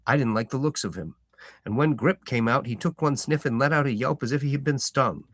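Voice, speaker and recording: Man doing old hobbit farmer, stubborn, proud, rustic grammar, slow relishing drawl, husky, dry close sound. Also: medium pitch, no room sound, pitched above the performer's own voice, close to an everyday voice. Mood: indignant. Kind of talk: reading a novel aloud.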